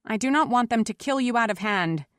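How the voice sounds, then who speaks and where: A woman's voice: on the high side, lively intonation, a little fast, a bit bright, no echo, studio quality, voice pushed up. A woman voicing an earnest, protective townsman in an audiobook, urgent and firm. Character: earnest protective townsman pleading against an angry mob. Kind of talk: audiobook character voice.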